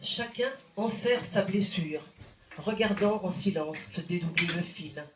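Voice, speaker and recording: A woman reciting poetry in a deliberate, dramatic manner with expressive phrasing and pauses. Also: fairly low pitch, a little hiss or hum. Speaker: poet reciting. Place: indoor live poetry evening venue. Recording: roomy live recital sound. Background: plucked guitar music.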